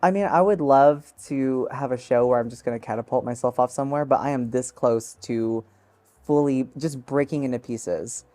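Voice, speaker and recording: A woman guest in a casual interview, animated and self-mocking as she jokes. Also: extremely low pitch, normal speed. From United States.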